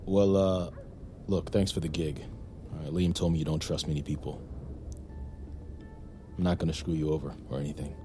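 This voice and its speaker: A man acting in a naturalistic audio drama as an awkward, self-deprecating war returnee, speaking in a halting, sheepish way. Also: rumbling low, steady tone, warm tone, soft background music. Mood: sheepish, friendly.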